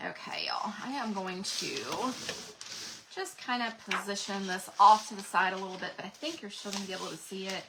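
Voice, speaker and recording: A woman's voice: medium pitch, big pitch swings, brisk, background noise. A woman, chatting folksily with viewers while demonstrating crafts live from home. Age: 40s. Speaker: craft channel host.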